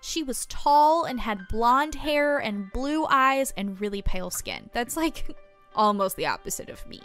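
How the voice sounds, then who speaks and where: A woman playing an earnest, patient small-town reporter in an audio drama, explaining things in a measured, reflective way. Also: fairly high pitch, very animated, fairly bright tone, faint music behind. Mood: reflective, confiding. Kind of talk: audio drama dialogue, naturalistic.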